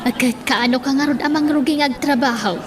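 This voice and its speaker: A woman's voice: high voice, fairly quick, lightly acted. A woman playing a practical woman in a radio drama. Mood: matter-of-fact. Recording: crowd chatter behind the voice.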